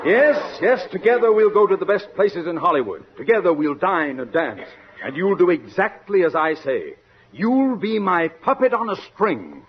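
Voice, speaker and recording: A grandiose show-business gentleman in a vintage radio comedy. His voice is very high for a man and swoops widely, husky and florid, on a slightly muffled broadcast recording. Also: big pitch swings, normal speed, exaggerated voice. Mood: effusive, domineering. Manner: florid, theatrical, declaiming. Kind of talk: old-time radio comedy acting.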